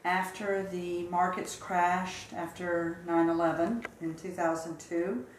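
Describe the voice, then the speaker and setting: A woman's voice: on the low side, fairly even intonation, slight background noise. Reflective woman in her fifties, echoey room. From Charleston, South Carolina. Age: 50s. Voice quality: measured, reflective, with occasional fillers. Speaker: interview guest. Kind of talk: recounting life story in an interview.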